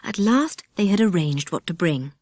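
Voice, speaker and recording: Lively lower-pitched British woman reading a story. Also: moderate pace, no echo, studio quality. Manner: expressive, animated storytelling. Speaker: audiobook narrator. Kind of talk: scripted children's storybook narration.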